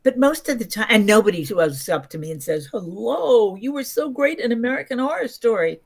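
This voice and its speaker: A woman's voice: fairly high pitch, animated, a little fast, creaky. A woman in her seventies telling stories casually as an interview guest, emphatic and often acting out what people said. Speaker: podcast guest, actress.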